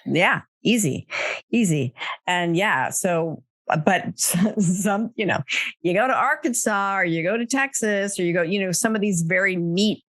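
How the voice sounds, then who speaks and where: A woman's voice: medium pitch, a little slow, fairly bright tone, no echo, clean studio sound. An older woman hosting a casual, chatty conversational interview in an easygoing storytelling manner. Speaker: podcast host.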